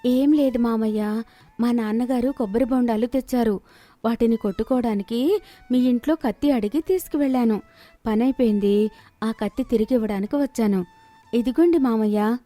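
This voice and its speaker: A woman's voice: a little high, moderate pace, warm tone, no echo, soft background music, close to an everyday voice. A woman voicing a polite, clever young village daughter, respectful and sweetly reassuring.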